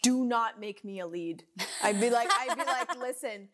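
A woman's voice: a little high, moderate pace, fairly bright tone. Young Canadian woman host, chatty and easygoing, frequent laughs. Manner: chatty, laughs often, lots of fillers. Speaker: podcast host. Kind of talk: casual interview chat. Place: living room set with couch.

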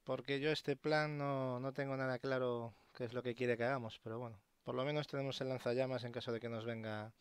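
A man's voice: mid-range voice, steady tone, brisk, hardly any pauses, smooth, dry recording. A man from casually commenting a horror game playthrough, joking and reading screens aloud. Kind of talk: casual let's play commentary.

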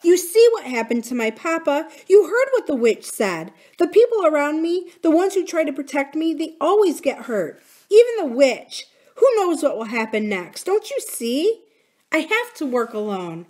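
A woman's voice: fairly high pitch, big pitch swings, moderate pace, few pauses, pitched above the performer's own voice. A woman voicing a stubborn, hurt young girl who speaks intensely and defiantly in an audiobook story. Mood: distressed, resolute. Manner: intense, emphatic.

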